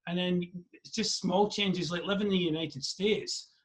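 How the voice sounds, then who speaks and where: A man's voice: sits high, steady tone, normal speed. A Scottish man from Scotland interviewing a guest over a video call, asking friendly, rambling questions. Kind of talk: remote interview.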